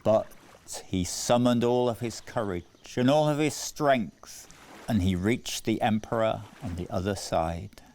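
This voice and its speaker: A man narrating a scripted children's story in a measured, deliberate way with dramatic phrasing. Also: on the low side, a little mellow. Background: music.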